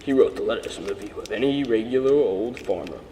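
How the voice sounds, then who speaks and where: A man's voice: lowish voice, fast talker, mellow, natural voice. A teenage boy playing earnest historical characters in an amateur puppet show, reciting scripted lines somewhat stiffly. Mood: earnest, argumentative. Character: opinionated questioner, later a modest, honorable colonial gentleman. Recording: roomy reverberant recording with background hiss.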